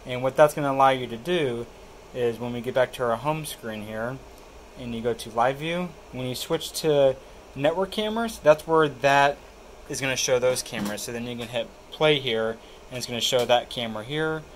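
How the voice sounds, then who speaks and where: A man with a mid-pitched voice presents a hands-on product walkthrough. He explains things casually and plainly, one step at a time. A faint air-conditioning hum sits behind him. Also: moderate pace. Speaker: tech tutorial presenter.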